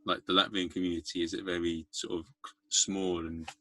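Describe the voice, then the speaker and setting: A man's voice: sits low, level tone, normal speed, sharp tone, slight background noise. A man hosting a podcast, asking curious follow-up questions in a casual interview chat. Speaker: podcast host.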